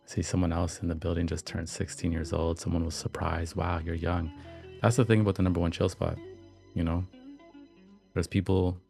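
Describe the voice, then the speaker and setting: A slightly hoarse man hosting a livestream, chatting in a relaxed, reflective, slangy way over soft background music. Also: low-pitched. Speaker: podcast and livestream host. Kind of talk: casual livestream chat.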